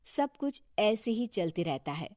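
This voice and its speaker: A woman's voice: medium pitch, sing-song, unhurried, mellow, no room sound. Dry, close woman storyteller. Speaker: narrator. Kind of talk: scripted story narration.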